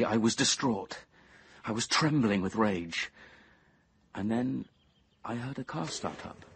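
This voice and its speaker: An actor playing a brooding, obsessive young man who narrates his confession in measured, intense tones, at times near a whisper. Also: lowish voice, gravelly, a little hiss or hum. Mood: bitter. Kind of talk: radio drama first-person narration.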